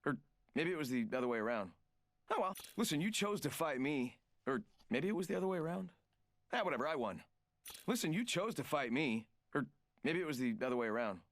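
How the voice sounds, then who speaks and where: A man's voice: fairly high pitch, dry recording. An actor voicing a cocky, joking male trickster fighter in a video game, with smug, rambling asides. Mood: boastful, rambling. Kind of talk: video game character voice lines.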